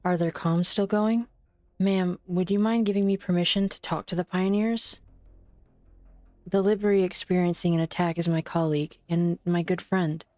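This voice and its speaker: Woman playing composed polite physician, lower-pitched, formal, dry close recording, game drama. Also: steady tone, moderate pace, warm tone, no echo, soft background music, lightly acted. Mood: composed, concerned. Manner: formal, courteous. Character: polite, composed female physician worried for her colleague. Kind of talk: amateur fan-dub game drama acting.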